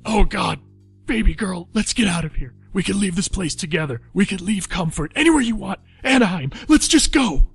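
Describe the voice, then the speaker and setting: A man playing a frightened middle-aged sheriff and father in a radio play, pleading in a hoarse, strained voice. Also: sits high, expressive, normal speed, faint music behind, heavily acted. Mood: urgent pleading. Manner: desperate, pleading.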